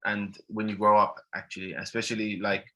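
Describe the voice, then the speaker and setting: A man's voice: fairly low pitch, little pitch movement, a little fast, pushed and bright. A man hosting a podcast, chatting casually and sharing relatable stories, originally from Pakistan. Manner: conversational, relatable anecdotes. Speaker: podcast host.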